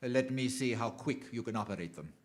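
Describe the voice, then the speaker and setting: A criminal mastermind of radio drama, acted by a man, commanding and coolly menacing. His formal, deliberate phrases swing widely in pitch around a middling register. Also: animated, natural voice. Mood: coolly probing. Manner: deliberate, authoritative, formally polite villain. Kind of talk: theatrical radio drama acting.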